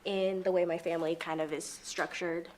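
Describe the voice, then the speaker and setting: A woman's voice: fairly low pitch, little pitch movement, brisk, a little mellow. A young woman giving interview answers in an explanatory, slightly hesitant way.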